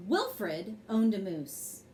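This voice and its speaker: A woman reading a children's picture book aloud as a bedtime story, in an expressive, measured way. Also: medium pitch, slight background noise.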